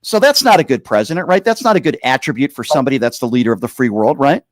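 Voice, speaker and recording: A man's voice: medium pitch, animated, fast talker, no echo. An American man hosting a political call-in show, arguing insistently, cutting in and stressing key phrases with repeated pointed questions. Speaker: talk show host. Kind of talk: heated political call-in debate.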